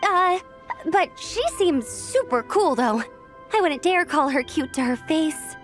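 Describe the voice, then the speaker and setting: A woman's voice: high voice, lively intonation, measured pace. A woman playing a perky, friendly young contest staffer in a video game. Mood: friendly, excited. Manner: upbeat, chatty. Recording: faint music behind the voice.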